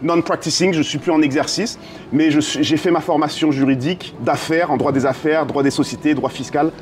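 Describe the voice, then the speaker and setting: A man's voice: on the high side, fairly even intonation, fairly quick, a little mellow. A man from a French and American background answering interview questions conversationally as a business consultant, dropping in English business terms. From France and United States, dual background. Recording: clearly noisy ambient background.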